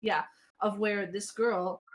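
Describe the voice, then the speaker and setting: A woman's voice: mid-range voice, steady tone, slow. A woman telling anecdotes in casual podcast chat, informal, slangy and sweary. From American Israeli background. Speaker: podcast panelist who streams.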